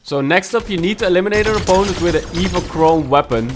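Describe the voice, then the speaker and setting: A man narrating a video game walkthrough, giving steady, instructive explanations of each challenge. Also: high voice, fast, noisy background. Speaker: gaming guide youtuber.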